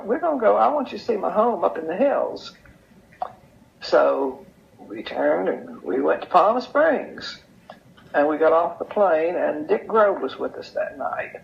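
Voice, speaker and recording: Older woman, creaky low-fi voice recording, folksy storytelling, unhurried reminiscence. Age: older, over 70. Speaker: interview guest sharing memories. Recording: dull, lo-fi sound.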